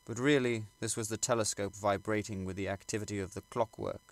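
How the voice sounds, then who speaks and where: Creaky, low-voiced man reading a classic novel. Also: steady tone, fairly quick, no echo. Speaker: audiobook reader. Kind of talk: scripted literary narration.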